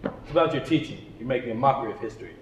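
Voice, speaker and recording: A man's voice: medium pitch, animated, very fast, natural voice. A man playing a stubborn, domineering, indignant father in an amateur stage drama. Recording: echoey hall, faint noise.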